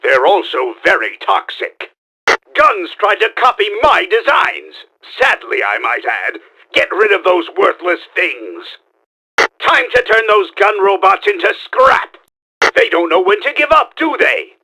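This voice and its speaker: An actor playing a pompous, scheming mad-scientist villain in a video game, with a theatrical, emphatic delivery. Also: male voice, high-pitched, very animated, unhurried, sharp tone, husky, full character voice. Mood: instructive, knowing, then indignant, scheming. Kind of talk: video game character acting.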